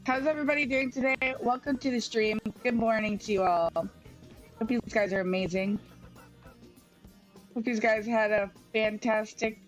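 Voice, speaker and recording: Woman game streamer chatting casually to her viewers, highish voice, bit of music behind. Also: steady tone, normal speed, long pauses, dull audio. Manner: chatty, informal, addresses viewers directly. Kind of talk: casual livestream chat to viewers. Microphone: headset.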